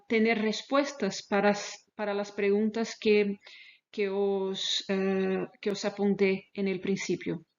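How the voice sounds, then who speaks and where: A woman researcher gives an online lecture in Spanish, which is not her native language. Her pitch is mid-range for a woman and her phrasing is careful and a little halting. The webinar audio is slightly dull and lo-fi. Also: a little mellow. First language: not Spanish, speaks Spanish as a second language. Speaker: animal welfare researcher, webinar presenter.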